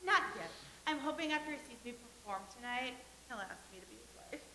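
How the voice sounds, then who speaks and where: An actress playing a dreamy young actress hoping to marry, earnest and lovestruck, in a staged play reading. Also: female voice, fairly high pitch, animated, brisk, sharp tone, echoey room, a little hiss or hum.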